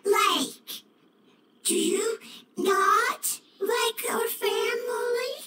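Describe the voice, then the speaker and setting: A woman voicing an innocent, childlike little creature in a video game, speaking in halting, simple phrases. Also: very high-pitched, background noise, heavily acted. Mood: earnest. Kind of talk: video game character dialogue.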